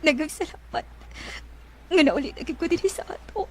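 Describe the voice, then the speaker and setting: A high, frail and plaintive voice of a gravely sick woman. She pleads wearily in a Filipino Bisaya accent. The radio drama recording carries faint noise behind her. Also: lively intonation, normal speed, darkish tone. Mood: weak, tearful.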